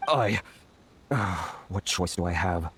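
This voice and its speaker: An actor playing a polite, earnest older merchant in a video game, timid and easily flustered. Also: male voice, deep voice, lively intonation, takes it slowly, fairly bright tone, slightly rough, heavily acted. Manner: stammering, polite. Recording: music behind the voice.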